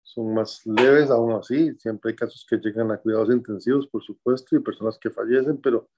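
A man in his sixties with a Costa Rican accent, answering interview questions from home in a measured, halting way, often pausing with fillers to find his words. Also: medium pitch, fairly even intonation, background noise. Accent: Costa Rican Spanish. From Costa Rica. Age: 60s.

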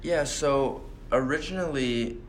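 A young man who grew up in the Bahamas, answering interview questions in a relaxed way and letting his thoughts trail off softly. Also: mid-range voice, slow, a little hiss or hum. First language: Portuguese. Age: young adult. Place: hotel meeting room.

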